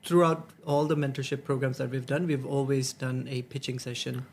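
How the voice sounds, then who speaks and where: A young man, answering interview questions in an earnest, measured way. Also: medium pitch, level tone, a little mellow. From Hong Kong. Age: 20s. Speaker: senior associate at a nonprofit.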